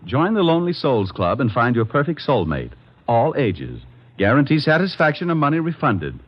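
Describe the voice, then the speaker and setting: Breezy wisecracking radio private eye. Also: male voice, medium pitch, wildly swooping pitch, normal speed. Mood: playful, flippant. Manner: wry, flippant, playful. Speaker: lead actor and narrator. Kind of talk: 1940s radio detective acting. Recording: muffled old radio broadcast.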